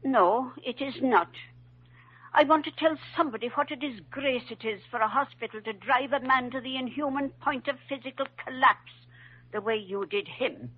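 A woman's voice: sits high, normal speed, husky. A woman acting a feisty, motherly rooming house landlady in a 1940s radio drama, folksy and plain-spoken. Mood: indignant. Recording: dull old radio recording.

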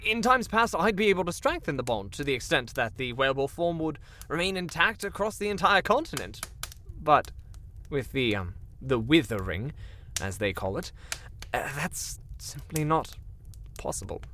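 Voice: medium pitch, expressive, normal speed, pushed and bright, a little hiss or hum. A performer playing a nervous, fawning, bumbling warlock minion in a comedic fantasy audio drama, hesitant and obsequious.

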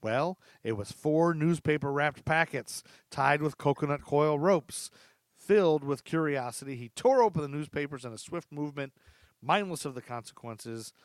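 A man's voice: a little high, normal speed, no room sound. A man hosting a podcast, telling true crime stories partly read from notes, with informal, joking asides. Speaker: podcast host. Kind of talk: true crime storytelling, part read from notes, part casual chat.

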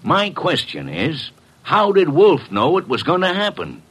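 An actor playing a stern, exasperated, husky police inspector in old-time radio drama style. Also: male voice, a little high, huge pitch swings, measured pace, a bit bright, full character voice.

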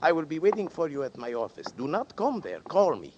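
A man's voice: on the high side, sing-song, a little fast, a little mellow, a little hiss or hum. An actor playing a smug, mock-polite male blackmailer in a 1940s radio drama, taunting and theatrical. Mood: scornful. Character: sly, mocking male blackmailer, scornful and smug. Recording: dull 1940s radio broadcast.

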